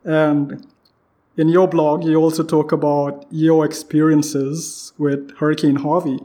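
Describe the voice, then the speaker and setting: Man, higher-pitched, casual interviewer. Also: level tone, slow pace, mellow. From Trinidad. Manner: informal, peppered with "you know". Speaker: podcast host, interviewer.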